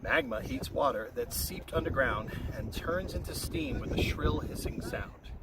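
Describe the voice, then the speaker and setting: A man reads a rhyming children's story in a singsong, expressive voice with a very animated pitch. He sounds set back from the microphone, and loud wind-like noise covers the recording. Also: measured pace. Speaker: storybook reader. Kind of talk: read-aloud of a rhyming children's picture story. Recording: very noisy, windy, distant-mic recording.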